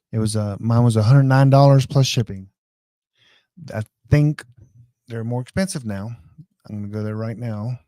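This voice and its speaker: An American man hosts a casual live stream, giving rambling tech advice. His voice is relaxed and mellow, with a mid-range pitch. The sound is very dry. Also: no room sound.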